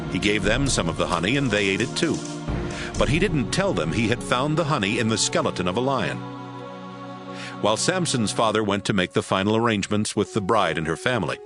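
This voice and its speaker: A man giving dramatic scripted narration for a Bible audio drama, as storyteller. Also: fairly low pitch.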